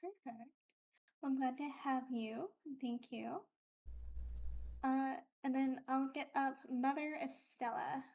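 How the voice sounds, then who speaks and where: A woman with a high-pitched voice hosts a relaxed group conversation. She has a lisp and speaks in a casual, chatty way. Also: slow pace. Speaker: host. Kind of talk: casual hosting of a group introduction chat.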